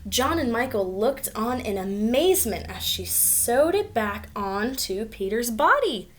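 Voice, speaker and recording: Lady doing kids read-aloud, highish voice, expressive, chats about the pictures. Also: unhurried. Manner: expressive, addressing young children. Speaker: storybook reader.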